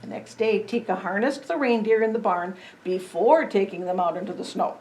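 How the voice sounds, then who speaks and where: A woman reading a children's story aloud in an expressive, dramatic storytelling manner. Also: medium pitch, normal speed, a little hiss or hum. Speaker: storybook reader. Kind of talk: children's story read-aloud with chatty asides.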